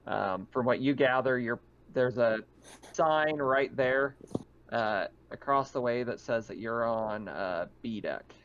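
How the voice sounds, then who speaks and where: Creaky-voiced man running a tabletop game. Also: medium pitch, highs cut off. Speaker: game master. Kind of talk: narrating a tabletop roleplaying game, conversational.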